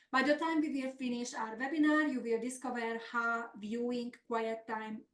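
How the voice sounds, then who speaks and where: A middle-aged woman with a Hungarian accent presents a business coaching webinar, sounding earnest and upbeat as she works through steady lists of points. Her voice sits a little high, and the echoey room carries it. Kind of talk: semi-scripted webinar presentation.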